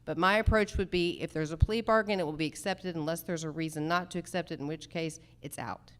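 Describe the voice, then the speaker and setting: A woman candidate for judge speaking formally at a forum, deliberate, articulate and emphatic. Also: low-pitched. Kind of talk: formal forum answers, measured campaign speech.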